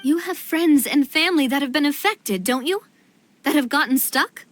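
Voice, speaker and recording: High-voiced, earnest young queen. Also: animated, moderate pace, fairly bright tone, a little hiss or hum. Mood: earnest, firm. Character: young female monarch, earnest, caring and persuasive. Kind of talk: game cutscene voice acting.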